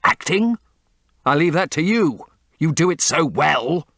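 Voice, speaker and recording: A man voicing a cold, disdainful young gentleman who speaks in clipped, bitter lines. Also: medium pitch, big pitch swings, moderate pace, dry recording, clean studio sound, voice pushed up, close to an everyday voice.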